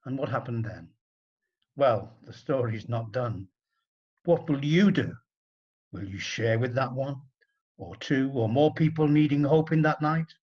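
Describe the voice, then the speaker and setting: A man narrating a rhyming story in an expressive, dramatic storybook style with deliberate phrasing. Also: mid-range voice, slightly rough, dull audio.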